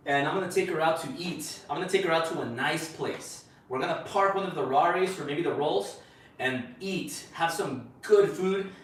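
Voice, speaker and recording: Brief: man, Mexican accent, slightly high voice, blunt and boastful livestream host, echoey room, off-mic. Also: distant mic. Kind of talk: casual livestream pitch. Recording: roomy echo, away from the mic.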